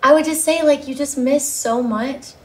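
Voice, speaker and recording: A woman's voice: high voice, moderate pace, darkish tone. A young woman worship singer chatting as a guest, upbeat and laughing often, with lots of "like". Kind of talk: casual interview chat. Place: bright living-room studio set.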